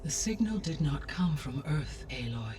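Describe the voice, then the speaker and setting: Calm, distant, formal machine mind. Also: male voice, on the high side, a little slow, slight background noise. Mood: grave, measured. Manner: precise, formal, unemotional delivery. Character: calm, formal artificial intelligence, measured and analytical. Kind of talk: scripted video game dialogue.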